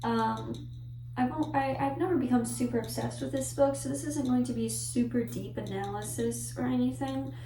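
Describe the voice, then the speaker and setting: A woman's voice: a little high, moderate pace, dark tone, far from the mic, slight background noise. Woman, Polish native speaker, rambling casual vlog, self-correcting, echoey room, off mic, music behind. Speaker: book vlogger. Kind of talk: casual book talk to camera.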